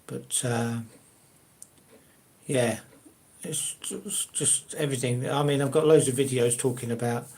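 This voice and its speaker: An older man with a Cockney-ish South London accent chats with his viewers on a live stream. He talks casually at a mid pitch, with a hesitant, rambling manner, many fillers and restarted sentences. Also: steady tone, a little slow, darkish tone.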